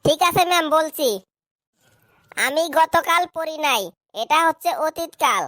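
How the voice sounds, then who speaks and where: A woman plays a clever, cheeky schoolboy in a comedy cartoon. The voice is high and eager, as if reciting in class. It is recorded dry, with no room around it. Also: unhurried, fairly bright tone, no echo.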